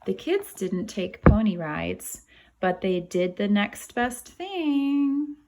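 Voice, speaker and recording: A woman reading a children's picture book aloud as a teacher, pausing to explain things and ask the children questions. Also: medium pitch, big pitch swings, mellow, slight background noise, poor audio. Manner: explains to young children, asks them questions. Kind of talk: animated storybook read-aloud with asides to children.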